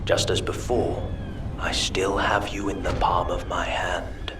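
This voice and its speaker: A low, echoing, scheming villain scientist. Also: male voice, level tone, far from the mic, background noise. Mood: commanding, gloating. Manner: measured, menacing, condescending. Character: scheming male villain scientist, cold, gloating and controlling. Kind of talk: dramatic video game voice acting. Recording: roomy echo, loud music and gunfire.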